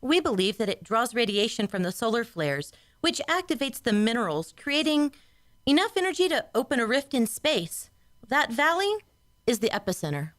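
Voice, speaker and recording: A keen starship science officer played by a woman in a radio play. Her voice is fairly high and very lively in pitch, emphatic as she explains, with a dry sound. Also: normal speed, no echo. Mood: excited. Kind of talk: science fiction radio drama acting.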